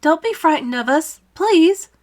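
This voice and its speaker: A woman's voice: on the high side, expressive, normal speed, close to an everyday voice. A woman voicing a cheerful, polite small boy in a storybook, eager and proud, with a lilting delivery. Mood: reassuring. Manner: eager, lilting, recites in rhyme.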